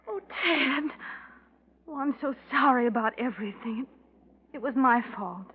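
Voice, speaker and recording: An actress playing an anxious, tender sweetheart, emotional and solicitous, in 1940s radio style. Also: female voice, high-pitched, a little slow, crowd noise. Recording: muffled, noisy 1940s radio recording.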